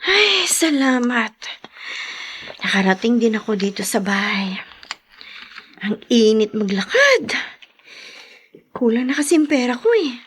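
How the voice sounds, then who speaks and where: A woman's voice: fairly high pitch, very animated, measured pace, long pauses, a bit bright, husky, heavily acted. A woman playing a sweet, devoted young daughter in a Filipino radio drama, with a Filipino accent. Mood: tired, chatty.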